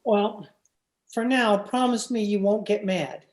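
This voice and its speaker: A woman's voice: medium pitch, slow pace, darkish tone, lightly acted. A woman playing a sheepish, placating husband in an old-time radio sitcom, hesitant and apologetic. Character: sheepish, placating suburban husband, a mother's boy. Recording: roomy, echoing home video-call recording.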